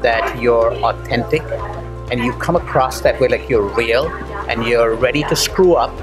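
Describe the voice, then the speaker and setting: A chatty man interviews his guest in an effusive, jokey way. His voice sits at an ordinary middle pitch. Clear music plays underneath the talk. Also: normal speed. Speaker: interviewer and marketer. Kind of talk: casual interview chat. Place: indoors on a couch. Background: background music.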